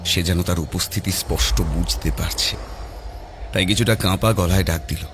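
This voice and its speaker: A man narrating a scripted horror story dramatically, with suspenseful, measured phrasing. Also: deep voice, slight background noise. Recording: loud music behind.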